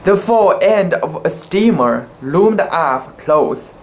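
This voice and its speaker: A man reading a sea story aloud as an amateur, with careful, halting delivery and occasional stumbles, speaking English as a second language. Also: on the high side, animated. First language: not English. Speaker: amateur reader.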